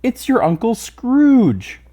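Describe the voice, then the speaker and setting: A man voicing an excitable, gleeful plump young woman who exclaims in a shriek, in a storybook reading. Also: very high voice, slow pace, pitched above the performer's own voice, full character voice. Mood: gleeful excitement.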